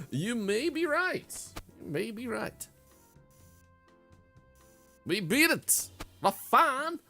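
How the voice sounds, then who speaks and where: Animated male streamer over game music. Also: very high voice, very slow, long pauses. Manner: mutters counts, bursts into loud exasperated rants. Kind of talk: casual gameplay commentary.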